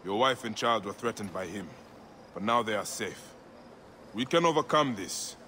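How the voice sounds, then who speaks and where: An actor playing a grim, principled ancient Egyptian warrior in a video game, speaking with earnest intensity. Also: male voice, fairly low pitch, animated, normal speed, sharp tone, a little hiss or hum. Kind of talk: dramatic video game acting.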